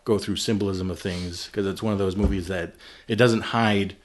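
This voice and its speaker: A man with a very low, deep voice hosts a casual film-discussion podcast. He talks in a relaxed, loose way and thinks out loud with fillers as he goes. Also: normal speed. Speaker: podcast host.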